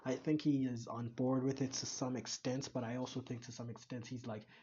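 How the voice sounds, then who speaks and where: Musing mid-pitched man reacting. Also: flat delivery, a little fast, warm tone, muffled recording, a little hiss or hum. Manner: thinking aloud, repeats and restarts. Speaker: reaction video host. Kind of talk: casual commentary.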